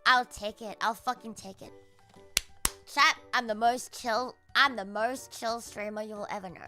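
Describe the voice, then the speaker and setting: A woman's voice: fairly high pitch, steady tone, measured pace, bright, forceful tone, soft background music. A young woman with an Australian accent, chatting casually on a live stream and answering comments with sarcastic, swear-heavy banter and frequent laughter.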